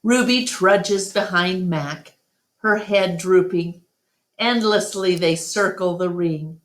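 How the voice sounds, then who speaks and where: Slow, low-voiced woman reading a story. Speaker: storyteller reading a children's novel aloud. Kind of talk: scripted storybook narration.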